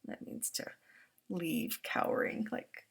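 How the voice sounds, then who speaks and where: Older female storytime reader, unhurried and gentle, explains pictures with quiet asides. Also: slight background noise. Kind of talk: children's picture-book read-aloud.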